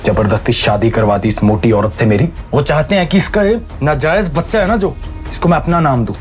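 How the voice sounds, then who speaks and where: A man's voice: mid-range voice, animated, fairly quick, natural voice. A man playing an arrogant young husband in an audio drama, speaking with taunting contempt over music. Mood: bitter scorn. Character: arrogant, scornful young husband rejecting his bride. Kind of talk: Hindi audio drama acting.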